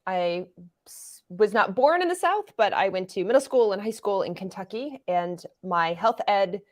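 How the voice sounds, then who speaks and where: Female guest expert, 40s, lively explainer, mid pitch. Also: moderate pace. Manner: animated, explains with casual analogies. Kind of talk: interview answers.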